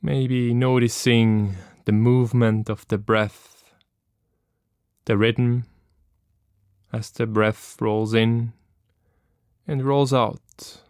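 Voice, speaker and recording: A man leading a guided meditation as an instructor, speaking calmly and gently with long, unhurried pauses. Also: medium pitch, clean tone, dry recording, studio quality.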